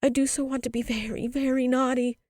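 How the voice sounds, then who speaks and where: A woman's voice: mid-range voice, expressive, moderate pace, dark tone, dry recording, clean studio sound, natural voice. A woman voicing a tearful little girl in a storybook, pleading in an insistent, emotional, childlike way. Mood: sobbing, pleading.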